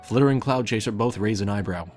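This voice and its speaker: A man narrates a scripted fan fiction story in a low voice. Faint music plays underneath his reading. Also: fast, a little mellow.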